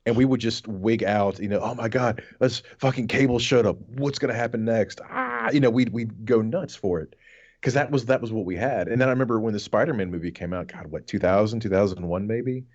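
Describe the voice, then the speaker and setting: A man's voice: fairly low pitch, a little fast, darkish tone, highs cut off. A man in his thirties co-hosting a podcast, reminiscing casually in a rambling, sweary way. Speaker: podcast co-host.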